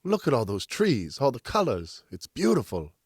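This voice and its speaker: A man voicing an eager, polite young son who speaks with excited, earnest wonder. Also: medium pitch, normal speed, slightly rough, no echo, clean studio sound, pitched above the performer's own voice. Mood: awed.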